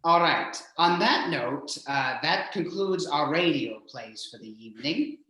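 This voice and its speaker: Male horror-show host, higher-pitched voice, hammy and jokey, heavily echoing room. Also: lively intonation, unhurried, bright, forceful tone. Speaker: host of a horror radio-play stream. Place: indoors, remote video call stream. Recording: very echoey, boomy room sound.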